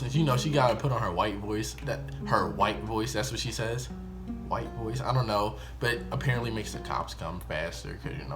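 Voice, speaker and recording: A young American man telling a story casually to viewers, rambling and slangy, often correcting himself. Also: mid-range voice. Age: young adult. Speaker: video storyteller.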